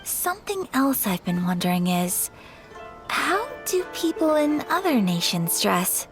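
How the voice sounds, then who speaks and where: A young woman speaks in a fairly high voice with gentle, formal politeness. Her phrasing is refined and measured, as a noble lady in a video game. Soft music plays behind her. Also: big pitch swings. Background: faint background music.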